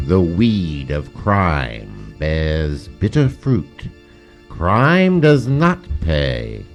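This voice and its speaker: An actor playing a sinister, mysterious crime-fighting avenger in an old-time radio mystery, with slow, menacing pronouncements. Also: male voice, wildly swooping pitch, heavily acted. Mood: sinister, gloating. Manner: slow, menacing, ominous pronouncements with low laughter. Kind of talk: 1940s radio mystery acting. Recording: music behind, live stage performance.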